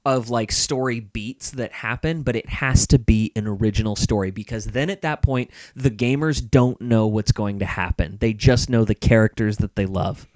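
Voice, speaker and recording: A man's voice: on the low side, moderate pace, few pauses, a little mellow, dry recording. Emphatic man in a dry, close recording. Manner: emphatic, repeats points for stress. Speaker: podcast guest. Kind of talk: casual opinionated discussion.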